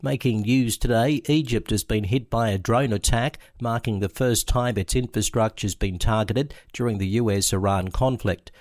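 A man with an Australian accent reading a radio news bulletin in a measured, steady way. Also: lowish voice, dry recording, studio quality. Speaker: news presenter.

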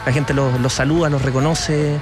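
A man's voice: mid-range voice, steady tone, normal speed, music in the background. A man with a Chilean accent, chatting casually as an interview guest. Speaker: interview guest, bar owner and sommelier. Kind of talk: casual conversation.

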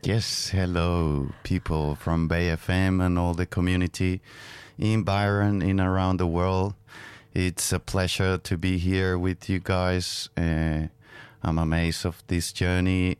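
A man from Venezuela with a Venezuelan Spanish accent, a native Spanish speaker, co-hosting a radio show in an earnest, halting way. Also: sits low, clear, resonant tone, dry recording. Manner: earnest, halting with restarts and fillers. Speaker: radio co-host. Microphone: studio microphone.

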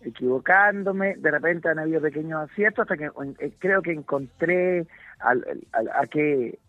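A Chilean man in his fifties, a comedian and guest, chatting in a relaxed, halting way and often repeating words while he searches for them. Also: on the high side, no room sound. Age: 50s. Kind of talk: relaxed interview chat. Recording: dry tinny phone line.

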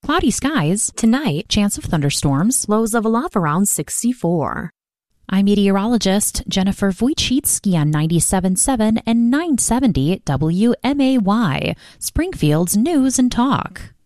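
A woman reading the weather on the radio, brisk and clear. Her pitch moves a lot, and the sound is dry and close. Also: sing-song, a little mellow, no room sound. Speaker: meteorologist.

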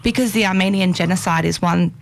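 A young woman, a documentary filmmaker, explaining her work in measured, articulate speech. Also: on the low side, creaky.

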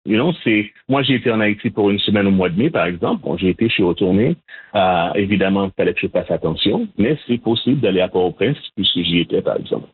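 A man from Montreal, answering interview questions in a measured, hesitant way with frequent restarts. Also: lowish voice. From Montreal, Canada. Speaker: guest, Haitian community organizer. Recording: phone-line audio, husky.

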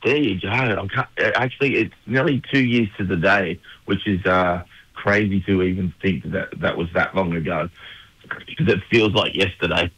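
A man with an Australian accent, a musician guest on a radio show, talking in a relaxed, laid-back way with lots of fillers. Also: sits low, moderate pace, husky, no echo, dull audio. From New South Wales, Australia. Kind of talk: casual radio interview.

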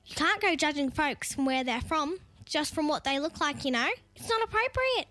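A woman's voice: high-pitched, expressive, normal speed, sharp tone, voice pushed up. A woman voicing a determined, folksy female emu, blustering and blunt, in a children's story. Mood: indignant. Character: decisive, easily indignant female emu, kindly and blunt.

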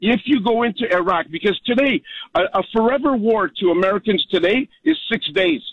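A man's voice: sits high, expressive, a little fast, vocal fry, no room sound, muffled recording. A man calling in to a talk radio show, rambling heatedly with many restarts and corrections. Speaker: caller.

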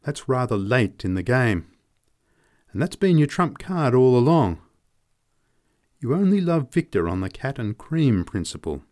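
A man's voice: mid-range voice, lively intonation, moderate pace, darkish tone, voice pushed up, lightly acted. Lovesick, bitter man, raised and heated, read by a male narrator. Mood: sneering. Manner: pleading and sneering by turns, emotional. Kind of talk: audiobook character reading.

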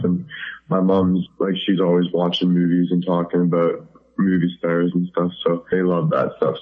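A young man from Canada, chatting casually as a guest and rambling with lots of fillers. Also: deep voice. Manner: loose and rambling, many fillers like "like". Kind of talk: casual interview chat. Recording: tinny phone line.

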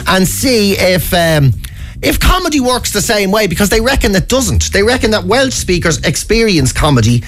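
A man's voice: high voice, big pitch swings, normal speed, fairly bright tone. A man co-hosting a morning radio show, jokey and playful, stumbling over his words. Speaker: radio co-host. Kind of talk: casual morning radio banter. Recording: radio broadcast with faint music.

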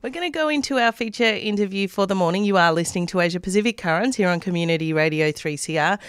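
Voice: medium pitch, fast, a bit bright, clear, resonant tone, no echo, clean studio sound. A radio news presenter, reading scripted news stories.

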